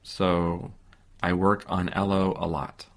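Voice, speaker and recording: An American man in his forties giving simple, deliberate answers in a beginner English listening lesson. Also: deep voice, level tone. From San Francisco, United States. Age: 40s. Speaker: English teacher. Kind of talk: slow, simple scripted-style interview answers for beginner learners.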